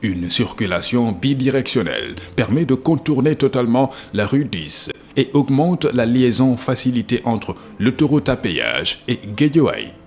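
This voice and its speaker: A man's voice: mid-range voice, sing-song, moderate pace, hardly any pauses, darkish tone, a little hiss or hum. A man reading a scripted documentary narration.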